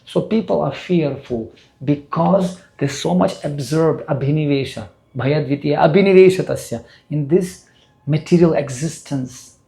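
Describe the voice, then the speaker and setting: An older man with a Russian accent lectures a temple audience in earnest, building his stories with rising emphasis. His voice is rough and gravelly, with a dark, mellow color and a pitch a little above the usual for a man. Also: measured pace. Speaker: spiritual teacher.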